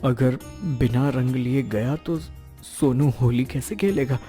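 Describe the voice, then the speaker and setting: A man's voice: mid-range voice, slow pace, dark tone, soft background music, close to an everyday voice. A man voicing a poor, humble and polite working father in a cartoon moral story. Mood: worried.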